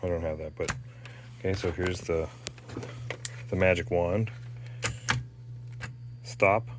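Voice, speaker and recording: A man with a very low voice talks slowly through his hobby repair work. He rambles in a casual show-and-tell way, halting often with fillers and restarts. A faint noise sits behind him. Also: steady tone. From Canada. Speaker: hobbyist restoring a tape machine.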